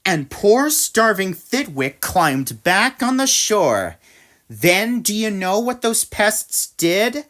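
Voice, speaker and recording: A woman reading a rhyming storybook aloud, with dramatic, deliberate phrasing. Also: medium pitch, sing-song, a bit bright. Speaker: story reader. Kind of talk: expressive read-aloud storybook narration in rhyme.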